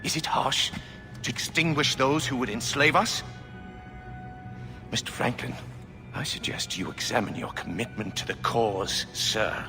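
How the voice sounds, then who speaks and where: A man's voice: mid-range voice, animated, unhurried, long pauses, music in the background, close to an everyday voice. An actor playing a tyrannical, coldly menacing king in a video game, speaking in a stately, imperious way. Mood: cold menace. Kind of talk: video game cutscene acting.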